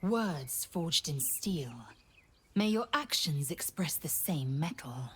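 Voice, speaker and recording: Fields: haughty alien warrior woman, husky, slow. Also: low voice, expressive, fairly bright tone, exaggerated voice. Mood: stern. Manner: condescending, clipped and commanding. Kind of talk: video game dialogue.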